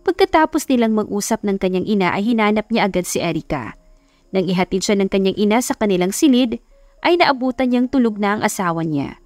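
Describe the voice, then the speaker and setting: A woman's voice: mid-range voice, lively intonation, brisk, darkish tone, no room sound, faint music behind. A woman narrating a scripted romance story as an audiobook narrator.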